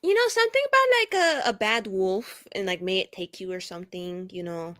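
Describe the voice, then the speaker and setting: A woman chatting casually as a tabletop game player, playful, expressive and dramatic. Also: mid-range voice, a little fast, dry recording, studio quality.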